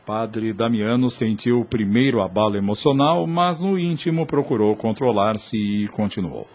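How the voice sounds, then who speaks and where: A man with a Brazilian accent and a husky voice, giving scripted dramatic narration for a radio soap. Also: fairly low pitch, moderate pace, a little mellow. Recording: music bed under the voice.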